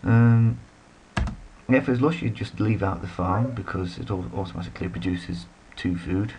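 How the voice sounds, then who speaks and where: A man's voice: low-pitched, normal speed, creaky, darkish tone, slight background noise. A man giving rambling, casual commentary while playing a strategy game, full of self-deprecating asides. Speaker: let's play commentator.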